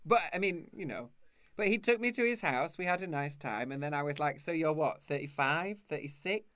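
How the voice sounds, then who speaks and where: Camp guy in his 30s, bright animated voice, chatty, dry sound. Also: fairly high pitch, clear, resonant tone, no echo. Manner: camp, chatty, quick to laugh. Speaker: host. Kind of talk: casual chat over video call. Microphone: video call.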